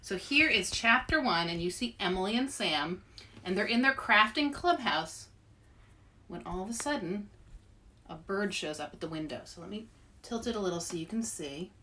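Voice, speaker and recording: A woman presenting a children's read-aloud in an animated way, speaking directly to young listeners as an author and host. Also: medium pitch, moderate pace, a little hiss or hum.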